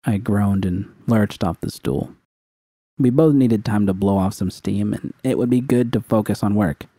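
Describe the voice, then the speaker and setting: A man's voice: lowish voice, normal speed, mellow, dry recording. A man reading dramatic first-person fiction as an audiobook narrator.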